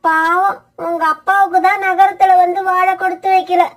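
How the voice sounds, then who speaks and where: Very high pitch, narrow intonation, plaintive widowed mother crow, cartoon fable, acted by a woman. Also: little pitch movement, moderate pace. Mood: wistful. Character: tearful old widowed mother crow, betrayed and remorseful. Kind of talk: Tamil animated bird fable dialogue.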